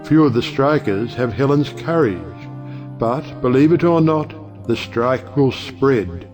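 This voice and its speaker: An actor playing a wry, provocative middle-aged judge and uncle in an audio play, speaking in a rhetorical, oratorical way. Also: male voice, mid-range voice, animated, slow, a little mellow, muffled recording. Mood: impassioned, scornful. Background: music.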